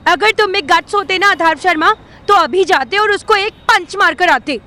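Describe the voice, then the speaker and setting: A woman's voice: very high voice, moderate pace, bright, forceful tone. A woman playing a feisty, sarcastic young woman in an audio drama, exasperated, with an Indian accent and English mixed in. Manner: sarcastic, mixes English into Hindi. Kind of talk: Hindi audio drama acting. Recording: music behind the voice.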